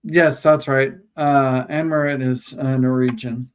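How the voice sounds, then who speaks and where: The host of a craft livestream talks slowly and easily, pausing often with ums. The voice sits in a middle pitch. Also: steady tone, clear, resonant tone. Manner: slow, hesitant, many ums. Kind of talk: casual chat.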